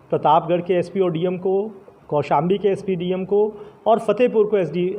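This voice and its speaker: Higher-pitched man, mellow, official interview. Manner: measured, official, halting phrasing with English legal terms mixed in. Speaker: senior police official being interviewed. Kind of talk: formal press interview.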